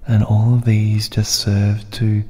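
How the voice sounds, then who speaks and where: A man with a dark, mellow voice leads a guided meditation in soft, breathy phrases. His delivery is very slow and soothing, with long pauses. The voice sits in a long reverb over music. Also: fairly low pitch, completely flat delivery.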